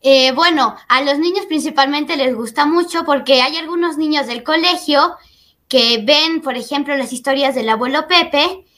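A woman's voice: sits high, normal speed, a bit bright. A teenage girl answering interview questions hesitantly, opening with fillers. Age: teens. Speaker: guest.

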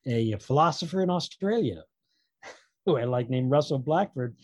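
Man, author guest, casual conversation, halting and wandering, wry, close dry sound. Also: medium pitch, lively intonation, darkish tone, no room sound.

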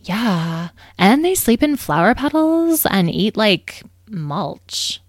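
A woman's voice: medium pitch, very animated, slow pace, dry recording, studio quality, pitched above the performer's own voice. A woman voicing a sulky, self-assured fairy attendant in a storybook, reluctant and disdainful.

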